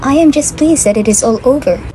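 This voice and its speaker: A woman's voice: high-pitched, a little fast, dark tone, slight background noise, voice pushed up, lightly acted. A woman voicing an anxious but plucky young girl character in a puppet show. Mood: polite, relieved.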